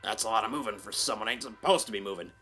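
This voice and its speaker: A man playing a surly, slow-witted rural farmer thug with a folksy, menacing way of talking. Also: lowish voice, over loud music, lightly acted. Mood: threatening. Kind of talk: animated comic dub acting.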